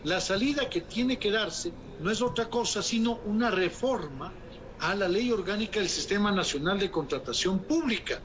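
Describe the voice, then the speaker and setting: Emphatic economist with high voice. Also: male voice, expressive, moderate pace, a bit bright, a little hiss or hum. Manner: emphatic, rhetorical questions, formal address. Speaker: economist guest. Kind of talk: formal interview answers.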